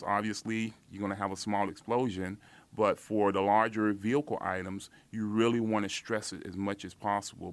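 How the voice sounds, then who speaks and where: A man with a somewhat deep voice explains things as a military commander being interviewed. His delivery is formal and measured, with careful official phrasing. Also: fairly bright tone, dry recording.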